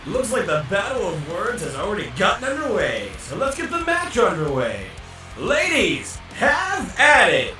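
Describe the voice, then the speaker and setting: A high, swooping male fight announcer, acted out for a visual novel. He is showy and excitable, a touch squeamish, and sounds roomy and distant under music. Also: measured pace, exaggerated voice. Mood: rousing. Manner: dramatic, showy. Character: excitable tournament arena announcer, squeamish and theatrical.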